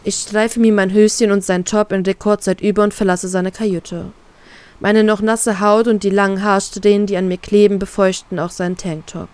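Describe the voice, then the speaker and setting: A woman's voice: mid-range voice, little pitch movement, moderate pace, darkish tone, smooth, no room sound. Woman reading her story, dry sound. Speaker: fan fiction author and reader.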